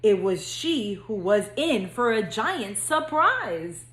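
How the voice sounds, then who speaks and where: A woman school counselor speaking to young children in a gentle, singsong read-aloud storytelling manner. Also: medium pitch, sing-song, takes it slowly, roomy sound.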